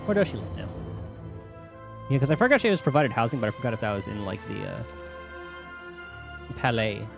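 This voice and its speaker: Deep voiced girl streamer chatting fast over game music, casual, lively intonation. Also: creaky. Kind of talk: casual gameplay commentary.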